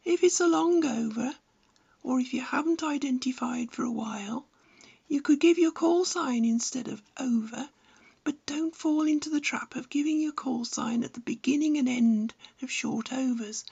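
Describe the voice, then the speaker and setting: A woman's voice: high-pitched, normal speed, vocal fry, a little mellow, slightly rough, highs cut off. A woman reading scripted instructional narration in a blunt, didactic manner. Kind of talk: scripted narration, tutorial with opinionated advice.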